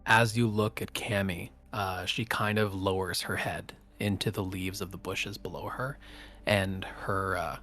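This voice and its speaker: A man narrating as game master of a tabletop game, halting and full of fillers. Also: sits low, steady tone, pushed and bright. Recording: faint background music.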